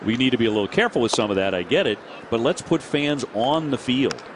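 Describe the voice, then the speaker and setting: Baseball announcer over ballpark noise. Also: male voice, medium pitch, expressive. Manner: brisk, conversational, reads promos. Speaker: play-by-play announcer. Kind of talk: baseball broadcast commentary. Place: ballpark broadcast booth.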